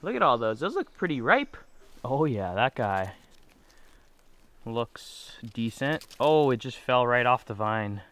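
A man in his thirties chatting casually to the camera while camping alone, relaxed and talkative. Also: mid-range voice, measured pace. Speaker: vlogger. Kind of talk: casual solo camping vlog. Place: inside a small truck camper.

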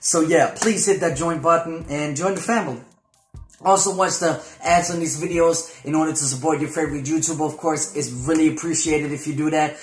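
A man's voice: fairly high pitch, fairly quick. A man in his twenties giving blunt, opinionated commentary with casual swearing as host of a reaction video. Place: small room indoors, plain wall. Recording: roomy echo, faint music.

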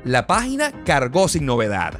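A man's voice: mid-range voice, huge pitch swings, normal speed, fairly bright tone, faint music behind. A man narrating a scripted tech review in his vlog, with an enthusiastic storytelling manner. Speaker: tech vlogger.

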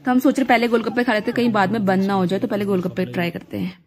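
A woman's voice: mid-range voice, rapid-fire, darkish tone. A woman, narrating a casual family vlog from a car and a busy hill-town market, chatty and often giggling with the children. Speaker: vlogger.

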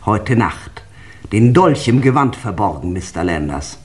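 A woman's voice: extremely low pitch, big pitch swings, unhurried, a little mellow, slight background noise, full character voice. An actress in a German crime radio play, playing a loyal, accusing woman of a manor household, dramatic and pointed. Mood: insinuating.

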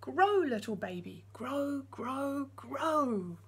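A woman's voice: sits high, lively intonation, drawn-out words, voice pushed up, exaggerated voice. A woman voicing an eager, ambitious mother in a storybook, emphatic and sing-song. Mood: eager chanting. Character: eager, ambitious, never-satisfied young mother.

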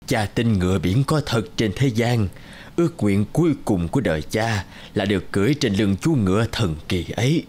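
A man voicing an old, wistful king and gentle father in a cartoon, speaking in a slow, solemn way. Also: lowish voice, lively intonation.

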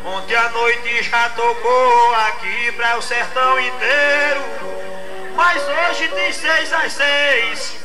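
Very high-pitched Northeastern Brazilian male verse singer. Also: fairly even intonation. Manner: drawn-out chanting delivery. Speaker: repentista singer-guitarist. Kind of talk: improvised sung verse to guitar. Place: open festival stage under tiled roof. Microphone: stand microphone through PA. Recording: roomy live VHS, guitar behind.

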